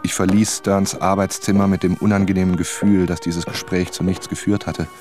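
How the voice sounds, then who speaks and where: A man plays an inquisitive male novelist turned sleuth in a radio drama. He sounds earnest and probing, in a low voice with faint music behind him. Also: flat delivery, brisk, close to an everyday voice. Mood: tense narration. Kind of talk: radio drama narration and dialogue.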